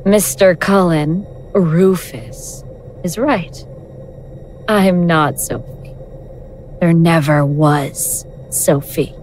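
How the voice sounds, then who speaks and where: A woman's voice: lowish voice, darkish tone, soft background music. A woman acting in an audio drama as a mocking elder god in the guise of a young woman, teasing and condescending. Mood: mocking, composed. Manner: slow, teasing, condescending, self-possessed.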